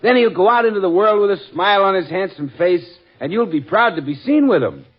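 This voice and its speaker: A man's voice: high-pitched, lively intonation, fairly quick. A man playing a jovial, wisecracking family friend and announcer with a jaunty salesman's manner in a vintage radio comedy. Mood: smooth selling. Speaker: announcer and comic sidekick. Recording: dull lo-fi old radio recording.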